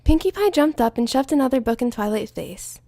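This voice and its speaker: Woman reading a story aloud, highish voice, lively expressive delivery, dry close sound. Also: brisk, no room sound. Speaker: fanfiction reader. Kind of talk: audiobook-style story narration.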